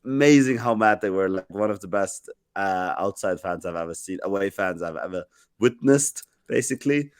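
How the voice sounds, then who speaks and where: A man who is sick and sounds deeper than usual, telling a rambling story on a casual podcast with many restarts. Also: moderate pace, smooth, no echo, studio quality. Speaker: podcast co-host.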